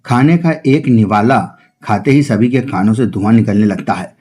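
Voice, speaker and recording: A man's voice: on the low side, moderate pace, mellow, husky. A man narrating a scripted children's-style cartoon story as its storyteller.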